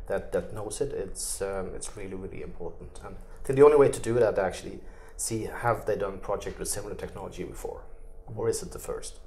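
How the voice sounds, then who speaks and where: A man's voice: sits low, fairly quick, darkish tone. Norwegian-accented man, filler-heavy talk. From Norway. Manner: loose phrasing with frequent "kind of, like" fillers. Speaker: interviewee, tech executive. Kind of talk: conversational interview answers.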